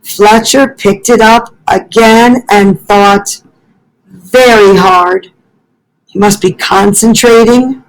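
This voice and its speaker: Librarian lady doing storytime for little kids, really slow, careful, explains stuff as she reads. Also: mid-range voice, fairly even intonation, low-quality recording. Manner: careful, explanatory, addressing young children. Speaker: school librarian reading to children. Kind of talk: read-aloud storytelling with teaching asides.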